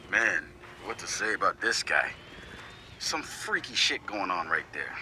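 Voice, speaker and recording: Young hacker boss doing game audio logs, confident n wry, super punchy bright voice, casual slang, bit of background noise. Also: male voice, sits low, very animated, slow, heavily acted. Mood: amused, wry. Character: confident young male hacker activist leader, wry and passionate. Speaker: hacker group leader narrating audio logs. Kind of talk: casual scripted audio log monologue.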